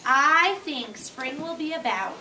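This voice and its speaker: High-voiced woman teacher, slow and prompting. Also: lively intonation. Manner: repeats children's answers, prompting with questions. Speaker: elementary school teacher. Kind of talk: interactive read-aloud lesson for children. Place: classroom. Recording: echoey noisy classroom, far from mic.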